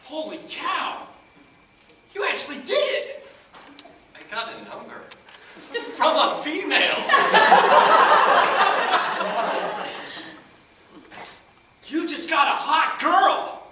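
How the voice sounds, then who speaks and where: A man's voice: extremely high pitch, wildly swooping pitch, extremely slow, long pauses, heavily acted. Man acting awkward wordy loner, very echoey stage recording. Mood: elated. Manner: wordy, stilted, overly precise phrasing. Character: awkward, talkative, socially naive loner man in a bar. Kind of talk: amateur one-act stage comedy-drama acting. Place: theatre stage, recorded from a distance. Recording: echoey theatre, distant mic, loud noise.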